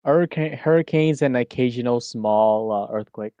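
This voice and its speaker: Male streamer co-host, teasing and quick, dry close mic. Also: mid-range voice, warm tone, no echo, lo-fi sound. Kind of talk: casual stream banter.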